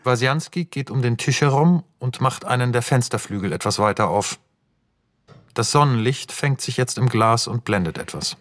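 A man's voice: mid-range voice, expressive, moderate pace, fairly bright tone, no room sound, clean studio sound. A man narrating scene directions for a radio play in a scripted, matter-of-fact manner. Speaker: narrator reading screenplay directions.

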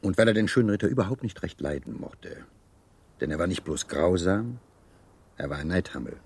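A suave officer and charmer in a radio drama. His low, husky voice rises and falls widely as he savours every phrase with theatrical, teasing gallantry. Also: lively intonation, a little fast, a little mellow, exaggerated voice. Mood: suave, dramatic storytelling. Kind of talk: German radio play acting.

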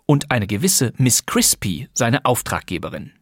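A man's voice: medium pitch, big pitch swings, a little slow, slightly rough, no echo, clean studio sound. A man introducing a radio crime play as host, in a scripted presenting style. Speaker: podcast host.

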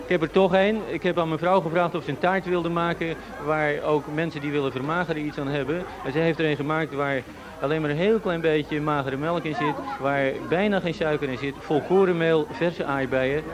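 Dutch guy hosting outside on a street, chatty and informal, bit high voice, noisy crowd around. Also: steady tone, fairly quick. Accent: Netherlandic Dutch. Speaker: radio host. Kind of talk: live on-location presenting with casual chat. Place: outdoors on a residential street. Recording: outdoor street recording with music and crowd. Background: loud music, crowd, traffic.

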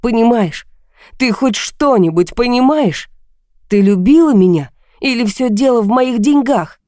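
A woman's voice: mid-range voice, moderate pace, mellow, no room sound, studio quality, natural voice. A woman voicing a volatile, self-pitying husband in an audiobook drama, agitated, accusing and pleading. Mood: plaintive.

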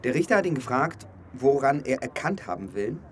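A man's voice: mid-range voice, sing-song, fairly quick, close to an everyday voice. An actor playing an earnest, indignant young German man who recounts a court trial in a radio drama.

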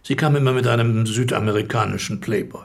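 A man playing a guarded, worldly bank director in a radio drama, with a creaky voice and polished, formal delivery. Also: mid-range voice, quick pace. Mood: confiding, suave.

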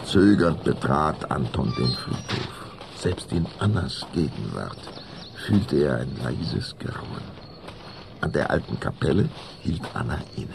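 A man's voice: very deep voice, big pitch swings, slow pace, creaky, very noisy. A man narrating a children's spooky radio play in a theatrical, suspenseful way. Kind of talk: dramatic scripted narration of children's horror story.

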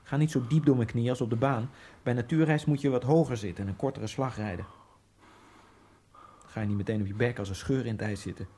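An actor playing a lonely Egyptian immigrant man in a radio drama, musing in a wry inner monologue that keeps jumping into giddy high outbursts. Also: brisk, mellow, a little hiss or hum, lightly acted. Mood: brooding. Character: lonely male Egyptian immigrant, brooding, self-mocking. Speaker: narrator and main character.

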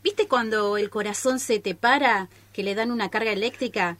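A woman's voice: mid-range voice, moderate pace, fairly bright tone, lightly acted. An Argentine woman acting a naive, eager accomplice to a reckless experimenter in a radio play, earnest and reassuring. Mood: earnest, coaxing.

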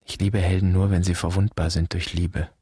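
An actor playing a brooding, wounded grown son in a poetic radio play, speaking in a measured, solemn, introspective way. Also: male voice, low-pitched, level tone, mellow, breathy edge, no room sound, clean studio sound. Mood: wistful. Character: brooding, wounded grown son addressing his father's generation.

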